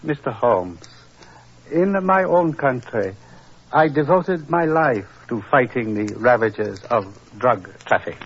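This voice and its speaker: An actor playing a dignified older Chinese prince in a 1940s radio drama, speaking formally, deliberately and with philosophical courtesy. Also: male voice, medium pitch, lively intonation, slight background noise. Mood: courteous, wounded. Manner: formal, deliberate, hesitant pauses, moralizing. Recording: husky, muffled old radio recording.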